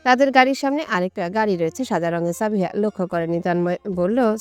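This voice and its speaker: A woman's voice: mid-range voice, brisk, clear, resonant tone, faint music behind. A woman reading a scripted romantic story as its narrator.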